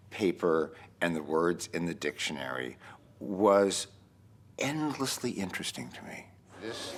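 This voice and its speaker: A man speaking as a novelist, reflective and deliberate, pausing and stressing key words. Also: deep voice, a little hiss or hum. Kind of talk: reflective interview and reading from own prose.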